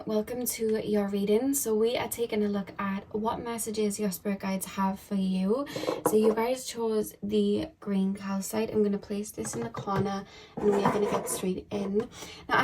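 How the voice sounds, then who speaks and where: A woman giving a casual tarot reading to her viewers. Her voice is hoarse and croaky, and she talks in a chatty, rambling way, full of "um" and "like". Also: medium pitch, a little mellow, low-quality recording. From United Kingdom. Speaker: tarot card reader.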